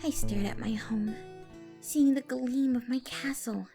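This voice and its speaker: A woman's voice: on the high side, a little slow, mellow, faint music behind. A woman narrating fan fiction expressively in the first person, as a storyteller.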